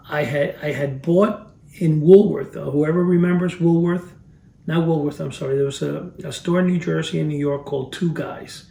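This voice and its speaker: Gravelly Cuban-born man in an echoey room. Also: fairly high pitch, warm tone, distant mic, slight background noise. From Cuba. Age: 50s. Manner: rambling, restarts phrases, chuckles at his memories. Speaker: collector reviewing vintage items. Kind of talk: casual rambling review. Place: cluttered storage room.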